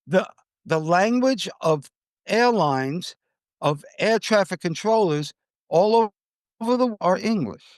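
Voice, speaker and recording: Man, American accent, very high voice for a male, dry close sound, podcast host, conversational news talk. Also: lively intonation, slow pace, no echo.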